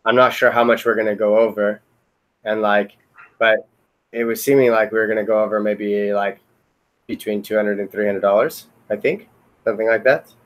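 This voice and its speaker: A man's voice: fairly low pitch, steady tone, normal speed, low-quality recording. A man chatting casually in a remote meeting, talking in a hesitant, rambling, hedging way. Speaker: software team member in a retrospective meeting.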